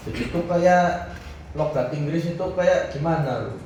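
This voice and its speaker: A man's voice: on the high side, normal speed, darkish tone. A teenage boy co-hosting a school podcast, asking curious follow-up questions. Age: teens. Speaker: student podcast co-host. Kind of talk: casual interview hosting. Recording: echoey room, distant mic, noisy.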